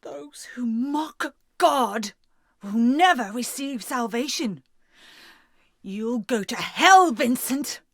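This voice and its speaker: A woman's voice: a little high, lively intonation, slow, a bit bright, no echo, clean studio sound, exaggerated voice. An actress playing a zealous, fervent religious woman in a video game cutscene, speaking with an intense, preaching tone. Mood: condemning.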